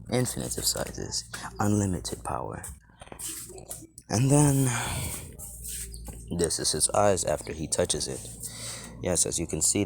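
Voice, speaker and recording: Deep gravelly-voiced man rambling through a story. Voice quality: scratchy. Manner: loose, chatty, swears and drifts into asides. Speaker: fan story narrator. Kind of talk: casual rambling story narration.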